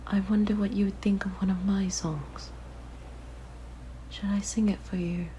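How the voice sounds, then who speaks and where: Girl doing a clingy siren monster for asmr, soft breathy whisper, super slow and mellow, coaxing, bit of noise behind. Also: medium pitch, little pitch movement, natural voice, poor audio. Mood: enchanted, coaxing. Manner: gentle, wistful, fascinated, coaxing. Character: possessive, curious young female siren monster, softly luring. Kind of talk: ASMR roleplay, intimate monologue.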